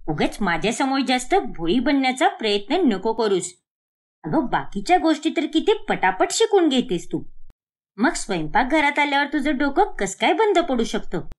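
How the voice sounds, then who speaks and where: An exasperated middle-aged mother from a cartoon family story, acted by a woman. Her voice sits high and swings widely in pitch as she berates and nags. The delivery is sharp and scolding. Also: very animated, normal speed. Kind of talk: animated moral story dialogue.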